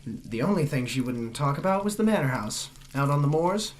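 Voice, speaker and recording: Actress as young male visitor, low pitch, polite, hesitant, flustered, comic audio drama, faint noise. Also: animated, normal speed, a little mellow. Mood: awkward, earnest. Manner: polite, hesitant, increasingly exasperated.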